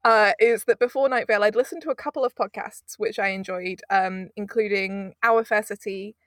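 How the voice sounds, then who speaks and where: A woman's voice: mid-range voice, steady tone, normal speed, dry recording, clean studio sound. A woman in her twenties from the UK, chatting casually as an interview guest, rambling with frequent laughs. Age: 20s. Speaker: audio producer, guest.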